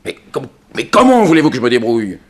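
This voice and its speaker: An actor playing a modest, easily rattled prison warder with a gravelly, husky voice and a hesitant, grumbling manner, in a 1960s French radio drama. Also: male voice, mid-range voice, sing-song, fast talker. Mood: panicked, indignant. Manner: hesitant, grumbling, plain-spoken. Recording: old radio serial with music behind.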